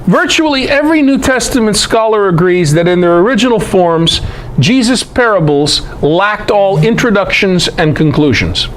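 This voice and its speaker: High-pitched, animated man lecturing. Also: moderate pace, slight background noise, rough recording. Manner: emphatic, rhetorical questions and repeated phrases. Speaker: lecturer teaching a group. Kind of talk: conversational Bible lecture to an audience.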